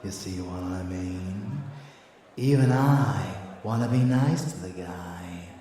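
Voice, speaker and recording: A man's voice: deep voice, takes it slowly, dark tone, heavy reverb, recorded from a distance, faint music behind. A man playing a rebellious vampire lover in an intimate ASMR audio drama, teasing, boastful and doting, with menace underneath. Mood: grudgingly charmed.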